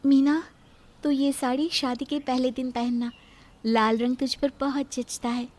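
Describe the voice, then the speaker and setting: A woman voicing a gentle, humble young wife, polite and eager, in a cartoon moral story. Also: high voice, little pitch movement, a little slow. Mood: kind, eager.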